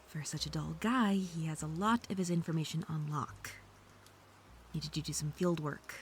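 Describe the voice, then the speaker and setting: A woman's voice: low-pitched, moderate pace, slight background noise, close to an everyday voice. A woman playing a snarky, defensive female hacker under interrogation in an audio drama, sarcastic and indignant. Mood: dry, sullen.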